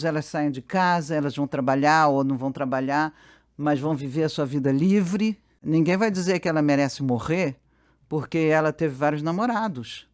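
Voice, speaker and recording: A man's voice: a little high, smooth. A Brazilian man speaking reflectively and spontaneously, as in an interview. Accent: Brazilian Portuguese.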